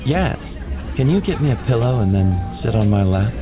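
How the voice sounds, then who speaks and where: A man's voice: mid-range voice, big pitch swings, normal speed, a crowd in the background, close to an everyday voice. A man playing a sleazy airline passenger who flirts smugly with the flight attendant. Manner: smug, leering. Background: music.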